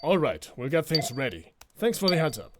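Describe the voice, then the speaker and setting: A man voicing a composed, wry, dutiful security chief in an animated comic dub. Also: fairly high pitch, fairly quick, heavy background noise. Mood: wry, playful. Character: gruff but easygoing adult security chief, composed. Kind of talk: animated fandub voice acting.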